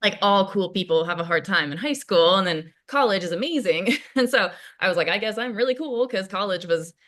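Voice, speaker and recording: Bright, rambling college girl played by an actress. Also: medium pitch, close to an everyday voice. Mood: rueful, self-mocking. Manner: halting, rambling, peppered with "like". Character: chatty, self-deprecating awkward female college student. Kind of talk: table read of a play, naturalistic casual acting.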